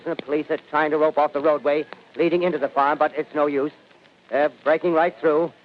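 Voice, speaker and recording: An actor playing a live on-scene radio reporter in a radio drama, talking in breathless commentary with hesitant fillers. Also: male voice, on the high side, natural voice. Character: excitable male field radio reporter. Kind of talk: 1930s radio drama acting. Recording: dull lo-fi radio recording, faint hiss.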